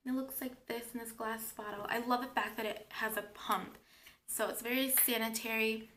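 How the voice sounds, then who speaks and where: A young woman talks through her makeup routine in a relaxed, chatty way, explaining each step. Her voice is bright and a little high, though stuffy from a cold and a sore throat. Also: moderate pace, slight background noise. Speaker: beauty vlogger. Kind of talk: casual makeup tutorial.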